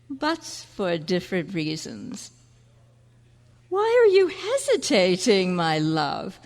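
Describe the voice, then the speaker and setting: A grand, teasing seductress of the stage, played by a woman, draws out her words slowly. Her pitch sweeps up and down with flirtatious passion in a reverberant hall. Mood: grand, knowing, then seductive, urging. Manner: grand, flirtatious, drawn-out delivery. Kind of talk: live dramatic stage reading.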